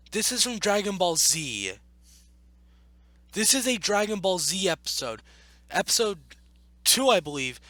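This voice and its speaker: A man with a high, bright voice that swings up and down a lot. He reads and reacts casually, arguing back in an exasperated tone. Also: dry recording. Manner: exasperated, argumentative. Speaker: YouTube commentator. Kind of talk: casual reaction commentary while reading.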